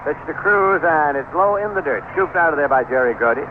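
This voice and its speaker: A man's voice: fairly high pitch, animated. Narrow, noisy old radio baseball announcer, man. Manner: measured, descriptive play calling in short phrases. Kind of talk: 1970s radio sports commentary. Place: ballpark broadcast booth.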